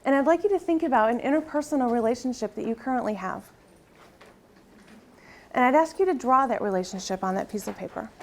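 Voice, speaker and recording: A soft-spoken middle-aged woman presents her research to a live audience in a slightly higher voice. She leads them through an exercise and tags her points with a rhetorical "right?". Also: animated, fast talker. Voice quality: soft-spoken, tries to project. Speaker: conference presenter.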